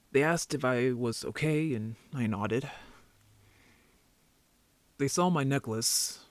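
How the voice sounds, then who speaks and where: A man playing an injured young werewolf, a defensive, easily embarrassed brother who talks casually and haltingly in an audio drama roleplay. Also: mid-range voice, no echo, natural voice.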